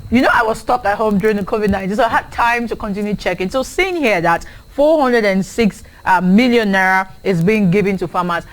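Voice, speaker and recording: A Nigerian woman co-hosting a newspaper review, chatty and quick to laugh and agree. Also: medium pitch. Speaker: co-host.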